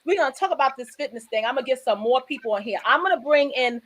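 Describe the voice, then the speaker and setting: High-voiced woman hosting a talk show. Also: lively intonation, fast talker, background noise. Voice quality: high-pitched voice. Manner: emphatic, preachy, rambling, with repeated restarts. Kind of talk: casual talk show conversation.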